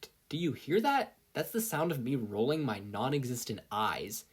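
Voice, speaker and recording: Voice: mid-range voice, sing-song, normal speed, breathy edge. A narrator with an American accent voicing a sarcastic, grudging bodiless conscience in a story reading. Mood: sardonic. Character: sardonic, disgruntled inner conscience, sneering and bodiless.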